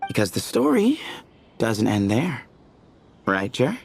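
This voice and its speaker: A man's voice: mid-range voice, huge pitch swings, slow, gravelly, faint music behind, full character voice. A man voicing a laid-back, teasing young temple leader who chuckles and jokes in game cutscene dialogue. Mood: easygoing.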